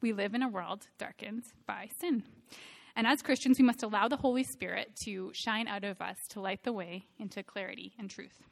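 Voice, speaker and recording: A woman's voice: mid-range voice, normal speed, creaky. A woman in her thirties giving a church talk on mission, reading scripture aloud in an earnest, emphatic way. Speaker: missionary speaker presenting to a church.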